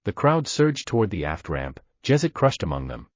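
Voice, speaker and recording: Male narrator, very deep voice, lively dramatic delivery, dull lo-fi sound. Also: moderate pace, no echo. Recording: dull dry lo-fi recording.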